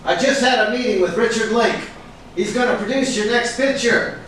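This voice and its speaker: An actor playing a brash, excitable and scolding film agent in a theatrical staged reading, with an emphatic, hectoring delivery. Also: male voice, very high-pitched, normal speed. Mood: excited, urgent. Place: indoor room at a live staged reading. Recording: echoey room, distant mic, background hiss.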